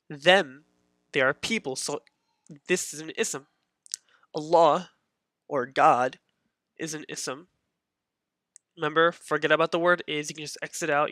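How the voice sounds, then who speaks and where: A man's voice: on the high side, sharp tone, no echo, clean studio sound. A man teaching a beginner grammar lesson in a casual, patient way, repeating his points with many fillers.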